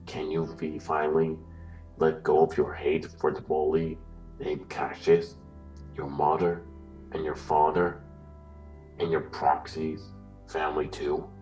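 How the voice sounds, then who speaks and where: A cold puppet mastermind with an extremely deep male voice, speaking slowly and deliberately. He sounds distant, ominous and formal, moralizing like a horror audio drama villain over music. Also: completely flat delivery. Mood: grave, challenging. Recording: distant voice under loud music.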